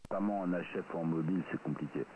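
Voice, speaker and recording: A man's voice: deep voice, fast. A man chatting informally and hesitantly over a two-way radio. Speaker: radio amateur. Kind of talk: casual radio chat. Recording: tinny, very noisy radio link.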